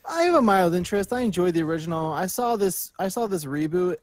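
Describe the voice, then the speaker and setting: A man's voice: high voice, expressive, quick pace, no echo. A man chatting casually as a panelist, thinking aloud with hesitant phrasing. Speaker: panelist on a movie news talk show. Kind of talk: casual chat.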